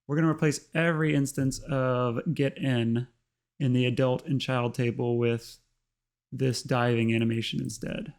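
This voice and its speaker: A man hosting a casual tutorial, chatty and full of ums, his voice slightly hoarse. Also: mid-range voice, steady tone, normal speed, a little mellow. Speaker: host. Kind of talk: casual screen-recorded tutorial narration.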